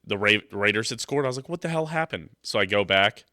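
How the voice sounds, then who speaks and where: A man's voice: fairly low pitch, lively intonation, quick pace, sharp tone, dry recording, clean studio sound. A young man with a stuffed-up nose from a cold, hosting a casual sports podcast and rambling with jokes into his microphone. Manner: rambling, joking, loose. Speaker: podcast host.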